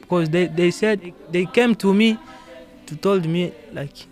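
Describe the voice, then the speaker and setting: A Congolese-accented man with a fairly high voice speaks in halting English, restarting and repeating words as he answers. Soft guitar music plays faintly underneath. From Democratic Republic of Congo. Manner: halting non-native English, repeats words, laughs often. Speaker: guest musician. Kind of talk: casual interview answers.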